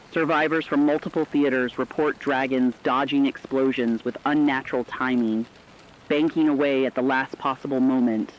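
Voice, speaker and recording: A man plays a serious radio news correspondent with a mid-pitched voice. The scripted report comes out sober and deliberate, through slightly dull audio with faint noise. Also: lightly acted. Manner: sober, deliberate, dramatic delivery.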